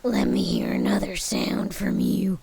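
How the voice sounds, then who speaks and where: A woman's voice: extremely low pitch, wildly swooping pitch, measured pace, vocal fry, over a noisy crowd, pitched below the performer's own voice, heavily acted. A woman reading aloud and voicing a miserly, grumpy old man who growls his curt replies. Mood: gruff.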